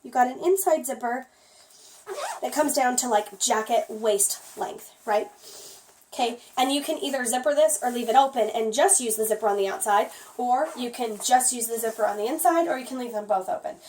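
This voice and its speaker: A hoarse woman who has nearly lost her voice, chatting casually through a product review with lots of "um"s. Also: fairly high pitch, moderate pace, a little mellow, distant mic, a little hiss or hum. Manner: chatty, rambling, frequent "um".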